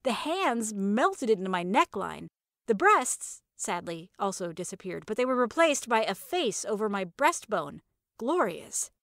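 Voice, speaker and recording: A woman narrating a first-person story in an expressive, conversational manner. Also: mid-range voice, a little slow, dry recording, clean studio sound.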